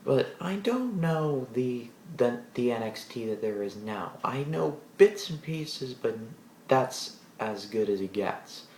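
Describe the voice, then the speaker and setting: A man giving a casual solo wrestling show review, rambling with restarts and animated asides in a deep voice. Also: takes it slowly, roomy sound, a little hiss or hum.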